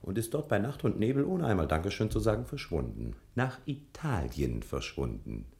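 An actor playing a boastful, slyly condescending private detective in a theatrical mid-century radio drama style. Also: male voice, fairly low pitch, sing-song, normal speed, creaky, a little mellow. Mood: sly, triumphant. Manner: pompous, theatrical, chuckling, talks of himself in third person.

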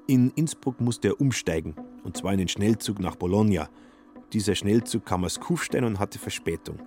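A man narrating a literary radio play in a measured, wry storytelling manner. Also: fairly low pitch, darkish tone, faint music behind.

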